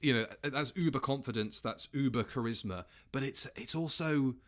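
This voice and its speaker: Man chatting casually as podcast host. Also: mid-range voice. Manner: halting, sweary, self-correcting. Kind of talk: casual commentary chat.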